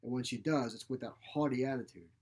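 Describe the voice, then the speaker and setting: A feisty old woman, acted out by a male narrator, speaks in a blunt, folksy, grumbling way. She is a sharp-tongued storybook mother who minces nothing. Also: medium pitch, fast talker, slightly rough, close to an everyday voice. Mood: blunt, disapproving. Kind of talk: short story character reading.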